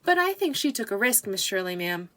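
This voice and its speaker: A woman playing an excitable, chatty fifteen-year-old country servant girl in an audiobook drama, gushing and deferential with folksy speech. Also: medium pitch, brisk. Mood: earnest, confiding.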